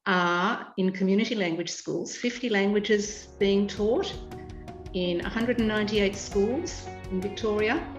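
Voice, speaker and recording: A woman's voice: mid-range voice, soft background music. Older Australian woman on an echoey video call. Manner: measured, explanatory, informative. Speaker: educator and curriculum consultant. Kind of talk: video call interview answers. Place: home room, indoors. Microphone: webcam on a video call. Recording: echoey room over a dull video call.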